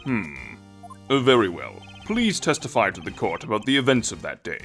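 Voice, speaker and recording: Man playing a low-voiced, solemn old judge. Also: big pitch swings, a bit bright. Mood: stern, inquiring. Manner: formal, measured pronouncements. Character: solemn, mildly puzzled elderly male courtroom judge. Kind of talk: amateur video game fandub acting. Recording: music behind.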